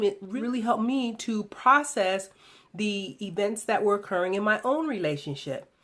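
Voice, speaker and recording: Woman video blogger, measured and deliberate. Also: fairly high pitch. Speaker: video blog host. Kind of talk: reflective monologue to camera about relationships.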